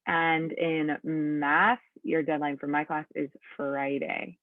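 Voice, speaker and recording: A woman's voice: low-pitched, level tone, slow pace, no echo. A woman in her late twenties with a Californian accent runs an online class, chatty and upbeat, full of ums and likes. Her voice comes through a thin, narrow video call line. The sound is dry and close. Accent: Californian English. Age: late 20s. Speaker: teacher. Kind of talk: online class, casual teaching. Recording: thin phone-like audio over a video call.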